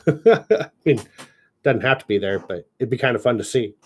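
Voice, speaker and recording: A man's voice: mid-range voice, expressive, measured pace, slightly rough. A man reading a story draft aloud and giving enthusiastic, chatty feedback, full of fillers. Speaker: editor reviewing a story draft. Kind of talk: casual chat while reading aloud.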